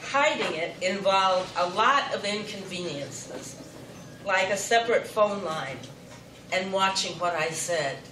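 Older woman, wry storyteller, far from the mic, loud noisy room. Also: mid-range voice, animated, a little slow. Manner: wry, self-mocking humor. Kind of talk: personal story told to a live audience. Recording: roomy, distant, noisy live recording.

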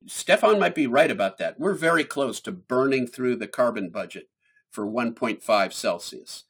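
Husky man explaining science calmly. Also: medium pitch. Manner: measured, explanatory, emphatic on key points. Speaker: climate scientist guest. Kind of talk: explanatory interview answers.

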